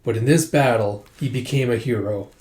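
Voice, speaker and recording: Man as a grave, mellow army general. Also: mid-range voice, moderate pace, close to an everyday voice. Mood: brooding. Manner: grave, deliberating. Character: wary, brooding male army general. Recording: roomy, echoing sound.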